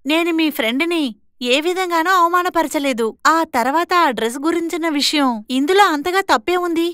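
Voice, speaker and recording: A woman plays a bold young sister in a cartoon moral tale. Her voice is high and dry, with no room around it. She talks back firmly and scolds like someone who will not be pushed around. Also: fairly quick, sharp tone, dry recording. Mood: defiant, indignant. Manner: assertive, scolding. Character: bold, defiant young twin sister standing up to her in-laws. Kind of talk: moral story cartoon dialogue.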